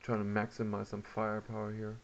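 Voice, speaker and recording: A man's voice: on the low side, monotone, a little fast, creaky. A man giving casual gameplay commentary, reacting aloud and muttering to himself as he plays. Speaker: gamer. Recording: muffled lo-fi mic, background noise.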